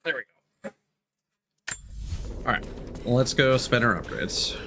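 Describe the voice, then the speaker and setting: A man's voice: mid-range voice, very animated, slow pace, gravelly, pushed and bright, slight background noise. A man who streams games, thinking aloud in casual, conversational live commentary while he plays. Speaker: game streamer.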